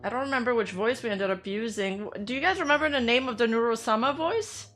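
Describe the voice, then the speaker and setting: A woman with a somewhat rough, hoarse voice, chatting informally as she hosts a livestream. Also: fairly high pitch, fast, a bit bright, faint music behind. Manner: informal, giggly. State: dry, hoarse voice. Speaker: livestream host. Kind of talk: casual chat to livestream viewers.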